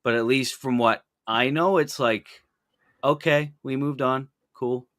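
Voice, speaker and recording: A man's voice: mid-range voice, unhurried, fairly bright tone, no room sound, studio quality. A man in his early twenties from Canada, chatting casually as a podcast guest with wry, offhand swearing. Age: early 20s. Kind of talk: casual chat.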